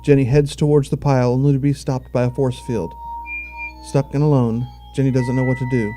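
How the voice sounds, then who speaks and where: A man's voice: medium pitch, level tone, fairly quick, mellow, soft background music. A man narrating a scripted audio drama, telling the action in the present tense.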